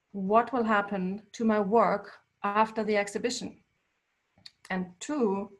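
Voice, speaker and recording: German-native woman talking through her art. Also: mid-range voice. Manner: thoughtful, with frequent ums. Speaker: artist giving a talk. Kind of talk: presentation to a small audience. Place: local climate cafe event.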